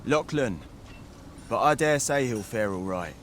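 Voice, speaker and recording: Earnest, mid-pitched fantasy soldier. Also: male voice, animated, unhurried, fairly bright tone, a little hiss or hum. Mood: concerned. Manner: earnest, courteous. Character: earnest male fantasy town soldier, dutiful and courteous. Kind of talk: scripted fantasy game dialogue, archaic English.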